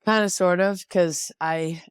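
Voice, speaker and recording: A woman's voice: lowish voice, steady tone, slow, clear, resonant tone, no room sound. Young woman, from Washington State, boxer guest, reflective, restarts often, dry close sound. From Washington State, USA. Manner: reflective, with frequent restarts and "like" fillers. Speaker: pro boxer and interview guest. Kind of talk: casual interview conversation.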